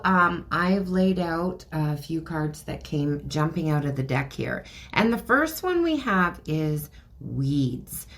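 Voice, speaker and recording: Middle-aged woman, low voice going a bit hoarse, chatty podcast host, lots of ums and giggles. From Canada. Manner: chatty, laughs often, many ums. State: losing her voice, a bit hoarse. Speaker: podcast host and card reader. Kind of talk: casual oracle card reading podcast.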